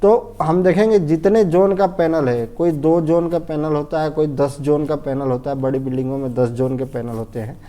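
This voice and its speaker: Mellow-voiced Indian man teaching, slightly high-pitched. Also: fairly even intonation, moderate pace, hardly any pauses. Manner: didactic, repetitive, often checks understanding and asks himself questions. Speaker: fire safety instructor. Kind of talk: informal technical lesson, explaining step by step.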